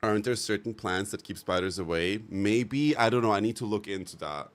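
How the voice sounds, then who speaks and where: Male streamer, lowish pitch, loose animated chat with his viewers, cursing and laughing freely. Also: brisk. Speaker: live streamer. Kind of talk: casual chat with live viewers.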